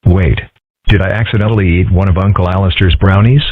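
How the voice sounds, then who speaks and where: A man reading several parts in a fan comic dub with a dry, deadpan delivery. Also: low-pitched, a little fast, slightly rough, dry recording. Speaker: voice actor doing several characters. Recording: dry phone-like audio.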